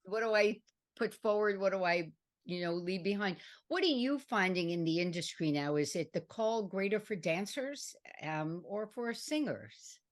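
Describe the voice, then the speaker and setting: Lively, animated woman podcast host. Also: medium pitch, normal speed. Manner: playful and animated, jokes with guests. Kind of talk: casual interview chat.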